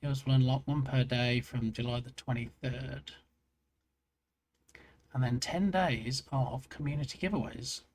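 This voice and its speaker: A man's voice: medium pitch, steady tone, unhurried, a little mellow. A man streaming live, chatting casually and joking at his own expense. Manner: chatty, self-mocking, rambling. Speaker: game dev streamer.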